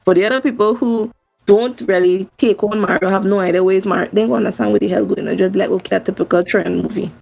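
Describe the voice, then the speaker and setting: A woman's voice: mid-range voice, steady tone, fast, vocal fry, a little mellow, faint music behind, poor audio. A woman with a Trinidadian accent co-hosting a podcast, giving blunt, informal opinions in casual review chat. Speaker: podcast co-host.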